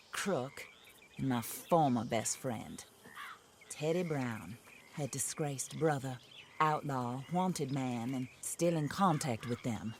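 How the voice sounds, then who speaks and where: An actress playing a vengeful genteel widow who speaks in a measured, formal and icy manner. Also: female voice, sits low, a little mellow, slight background noise.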